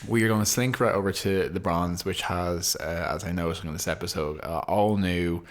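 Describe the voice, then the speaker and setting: Deep-voiced man, dry and teasing. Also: steady tone. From Ireland. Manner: dry, teasing, drawn-out fillers. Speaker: podcast co-host. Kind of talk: casual chat.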